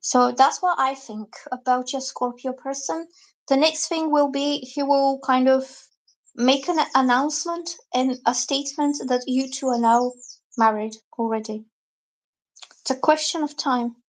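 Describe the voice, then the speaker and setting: A high-voiced woman reads tarot cards on a live stream. She talks in a chatty, loose way and drifts from point to point as she explains the cards. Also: steady tone, a little slow, low-quality recording. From Bulgaria. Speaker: tarot reader.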